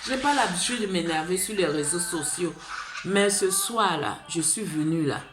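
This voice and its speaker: A woman's voice: on the low side, expressive, normal speed. A woman from Côte d'Ivoire with an Ivorian accent, speaking in an impassioned, pleading monologue to camera, rambling and tagging phrases with "là". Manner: fervent and pleading, rambling with repeated "là" tags. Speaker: vlogger. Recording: roomy echo with music behind.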